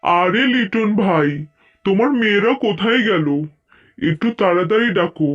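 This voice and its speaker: Chatty village matchmaker played by a man, muffled sound. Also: high-pitched. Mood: hurried. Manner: chatty, effusive. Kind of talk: Bengali cartoon fairy-tale acting. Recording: dull, lo-fi sound.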